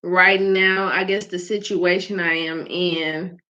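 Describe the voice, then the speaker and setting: Woman, soft small voice, chatty and laughing, low-ish pitch, dull lo-fi recording. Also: steady tone, takes it slowly. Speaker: host of a live faith vlog. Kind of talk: casual spoken reflection. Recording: dull lo-fi sound.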